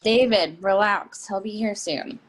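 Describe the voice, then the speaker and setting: A woman's voice: mid-range voice, unhurried, lightly acted. A woman playing an easygoing, teasing mother in a stage play reading. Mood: relaxed, teasing. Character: easygoing, well-dressed mother with teasing wit. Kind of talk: readers theatre dialogue.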